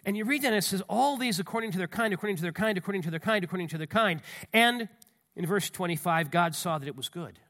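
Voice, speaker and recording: A high, bright-voiced man gives a sermon with a stuffy nose from a cold. He talks in a folksy, conversational way, full of asides and restarts. He reads scripture aloud with rising emphasis. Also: animated, quick pace. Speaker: pastor.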